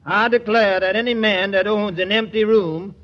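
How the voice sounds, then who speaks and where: A man's voice: sits high, fairly even intonation, normal speed, a bit bright. A man playing the easygoing, wisecracking lead of a 1940s radio comedy. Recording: noisy, dull old radio recording.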